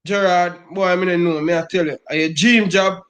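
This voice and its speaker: Middle-aged Jamaican Patois man, high voice, emphatic fan rant, keeps saying "brother.". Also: steady tone, moderate pace, a bit bright. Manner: emphatic, repeats "brother" constantly. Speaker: football fan guest.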